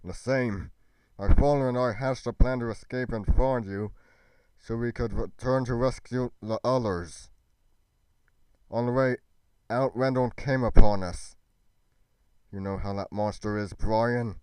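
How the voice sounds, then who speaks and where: A man playing an earnest young fantasy adventurer in an amateur roleplay, with a solemn, deliberate delivery. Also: mid-range voice, a little mellow, smooth, no room sound, lightly acted, poor audio. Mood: anguished.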